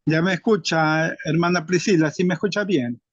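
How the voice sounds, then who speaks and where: Higher-pitched man hosting, dull call audio. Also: moderate pace, husky, no room sound. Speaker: host and prayer leader. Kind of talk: leading prayer and moderating an online meeting. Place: online meeting.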